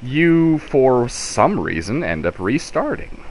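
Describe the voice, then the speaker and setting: A man co-hosting a casual video game chat, explaining things in a halting, rambling, self-correcting way. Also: mid-range voice, very animated, darkish tone. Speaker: podcast co-host.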